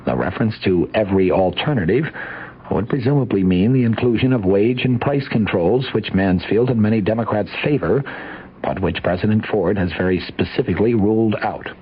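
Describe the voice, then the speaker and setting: A man's voice: lowish voice, a little fast, breathy edge, dull audio. An American man reading a scripted news report as a radio newscaster on a vintage broadcast.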